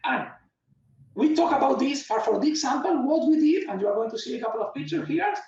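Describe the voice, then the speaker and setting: A man's voice: very high-pitched, animated, brisk, a little mellow. A man from Argentina, speaking English, gives an enthusiastic technical demo talk in loose phrasing. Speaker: conference speaker. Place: home room, streamed talk. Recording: echoey, distant, dull mic.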